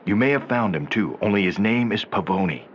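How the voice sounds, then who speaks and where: An actor playing a calm, playful male handler who talks over the phone in a video game. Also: fairly low pitch, a little fast, lo-fi sound, a little hiss or hum, lightly acted. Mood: steady. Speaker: game character. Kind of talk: video game cutscene acting.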